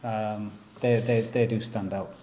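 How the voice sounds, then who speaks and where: A man's voice: lowish voice, flat delivery, measured pace, dark tone. A man who teaches jiu-jitsu, chatting casually, laughing often and repeating words as he tells stories. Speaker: jiu-jitsu professor and academy co-owner. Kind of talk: casual chat. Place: inside a martial arts gym. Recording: echoey room with faint noise.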